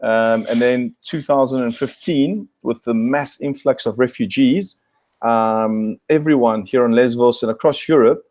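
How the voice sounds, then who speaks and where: A man's voice: medium pitch, a little slow, a little mellow, dry recording. A man from South Africa, a guest on a video call, answering thoughtfully with many fillers and restarts.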